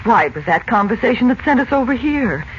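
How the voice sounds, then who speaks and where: A woman's voice: on the high side, big pitch swings, fast talker. A dull, slightly noisy late-1940s radio recording holds an anxious mother. She is middle-aged and speaks with earnest, worried drama in old serial style. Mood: urgent. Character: anxious, despairing, gently scolding mother.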